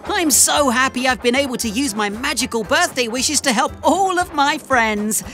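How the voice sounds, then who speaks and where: A woman voicing a cheerful, eager, helpful young male steam engine in a children's cartoon, earnest, polite and expressive. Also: high voice, normal speed, soft background music. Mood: happy, excited. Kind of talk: animated children's cartoon acting.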